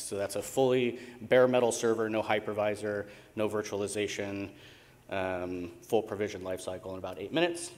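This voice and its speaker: Low-voiced American man in a reverberant hall. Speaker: conference keynote speaker, tech company co-founder. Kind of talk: conference presentation. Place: indoor conference stage in a large hall. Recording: reverberant hall sound from stage PA.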